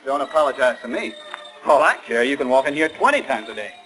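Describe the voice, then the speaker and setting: An actor playing a glib, bitter fortune-hunting fiancé, sarcastic and casual, in 1940s radio style. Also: male voice, medium pitch, expressive, fast talker, a bit bright, natural voice, poor audio. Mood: mocking. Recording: 1940s radio broadcast, faint music.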